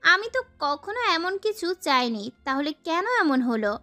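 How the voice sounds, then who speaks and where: A woman's voice: high voice, big pitch swings, normal speed, pushed and bright, soft background music, full character voice. A woman playing a bewildered young woman thinking aloud in an audio story, plaintive and questioning. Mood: bewildered, troubled.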